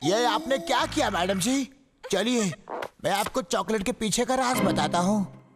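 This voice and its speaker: A man's voice: sits high, animated, a little slow, noisy background. A man voicing a protective, devoted male shark in a Hindi cartoon dub, earnest and pleading. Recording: music behind the voice.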